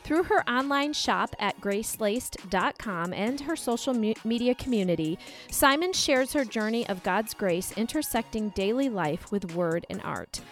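A woman co-host reading a guest's introduction, adding lively asides to the script. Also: on the high side, normal speed, soft background music. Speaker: podcast co-host.

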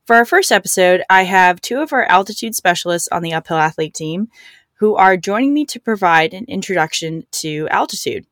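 A woman's voice: fairly low pitch, lively intonation, fairly quick, a bit bright, no room sound. Woman podcast host, close dry sound. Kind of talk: scripted podcast introduction and interview questions.

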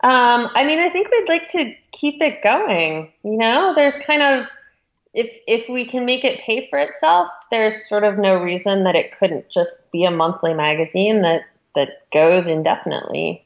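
A woman's voice: fairly high pitch, expressive, normal speed. A woman speaking as an interviewed magazine editor, explaining things in an informal, conversational way with some hedging. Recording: dull, muffled lo-fi audio.

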